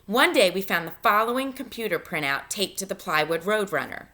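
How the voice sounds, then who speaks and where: A woman teacher reading a novel aloud to students in an expressive, instructive way, pausing to give guidance. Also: medium pitch. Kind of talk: read-aloud lesson, narration with spoken instructions.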